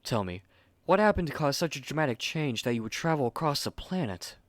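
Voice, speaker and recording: A man's voice: a little high, big pitch swings, brisk. A man playing a haughty, fussy young manga artist in an ASMR roleplay, speaking curtly and articulately to the listener on a close mic. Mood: haughty, inquisitive.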